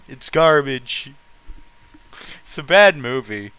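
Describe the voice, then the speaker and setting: A man's voice: fairly high pitch, pushed and bright, clear, resonant tone, dry recording. A man chatting casually on a livestream, ranting bluntly and swearing freely as an opinionated host. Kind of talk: casual rambling livestream chat with friends.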